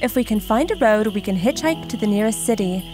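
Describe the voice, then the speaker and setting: A woman playing a solemn, ancient huntress in an audio drama, with formal, measured, archaic phrasing. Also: mid-range voice, animated, a little mellow, soft background music, close to an everyday voice. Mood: grave, steady.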